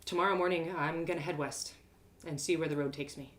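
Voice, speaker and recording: Woman voicing an earnest young female adventurer, lowish pitch. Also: fairly even intonation, a little fast, natural voice. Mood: earnest pleading. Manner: earnest, plain-spoken.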